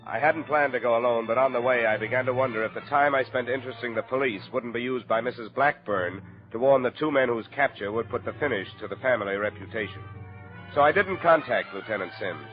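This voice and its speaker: An actor playing an insistent, unflappable American investigator in a terse, matter-of-fact 1950s radio detective style. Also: male voice, mid-range voice, fast, lightly acted. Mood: dry narration. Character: hard-boiled male insurance investigator, insistent and unflappable. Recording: dull vintage radio recording, music.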